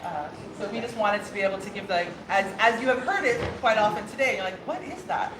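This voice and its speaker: A woman's voice: mid-range voice, quick pace. A woman from Ireland speaking as a new princess opening a court, laughing often and stumbling over fillers. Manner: warm, laughing, many fillers and restarts. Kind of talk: ceremonial speech and casual exchange. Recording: echoey hall with background noise.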